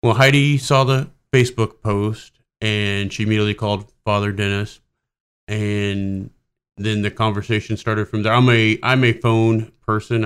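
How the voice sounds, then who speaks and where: A man's voice: on the low side, smooth. A man in his forties, an army officer, answering interview questions in a direct, matter-of-fact way. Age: 40s.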